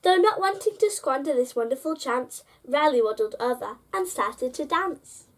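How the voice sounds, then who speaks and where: Female storyteller with a high-pitched voice for children's bedtime picture books, engaging young listeners directly. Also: animated, moderate pace. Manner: addresses child listeners with prompting questions. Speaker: host reading children's picture books aloud.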